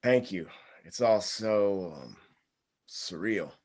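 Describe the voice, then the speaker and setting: An actor playing an awkward, self-deprecating, good-natured young groom in a comedy table read, casual and dry. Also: male voice, lowish voice, lively intonation, slow pace, a little mellow, breathy edge, full character voice.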